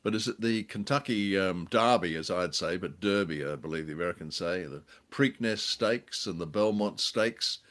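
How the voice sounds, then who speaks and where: A middle-aged man co-hosting a podcast in casual chat, speaking in a hesitant, halting way. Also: low voice, a bit bright. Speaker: podcast co-host. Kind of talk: casual scripted-topic chat.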